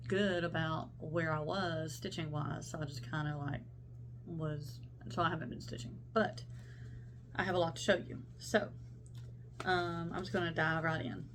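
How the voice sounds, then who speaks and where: A woman's voice: fairly low pitch, a little hiss or hum. A young woman talking casually about her cross-stitch projects, rambling and self-interrupting, her voice congested from a sinus infection. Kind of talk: casual show-and-tell vlog.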